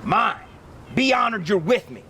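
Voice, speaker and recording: Man reading as a menacing, high-pitched storybook tiger. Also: very animated, a little slow, bright, forceful tone, a little hiss or hum, exaggerated voice. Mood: proud, possessive. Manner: taunting, commanding. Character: menacing, controlling male tiger gang tempter. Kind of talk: picture-book read-aloud.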